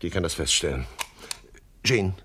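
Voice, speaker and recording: An actor playing a suave, wordy swindler boss with mock-courteous manners in a radio crime comedy. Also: male voice, sits low, lively intonation, normal speed, fairly bright tone, breathy edge, full character voice. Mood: affable bluffing. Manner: verbose, mock-courteous, hesitant filler words. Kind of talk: 1970s German radio crime comedy acting.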